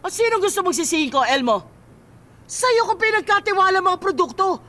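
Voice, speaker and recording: A woman's voice: very high-pitched, expressive, fairly quick, fairly bright tone. An actress with a Filipino accent playing a greedy, domineering mother in a radio drama. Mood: furious, accusing. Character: greedy, sharp-tongued, domineering mother and illicit dealer.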